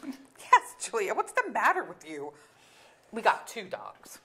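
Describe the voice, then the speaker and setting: An exasperated middle-aged woman, a blunt wife and mother. Her voice sits a little high and swings widely. She delivers sardonic, articulate lines with emphasis, in the manner of a staged play reading. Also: wildly swooping pitch, slow, sharp tone, heavily acted. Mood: dry, wry. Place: theatre auditorium.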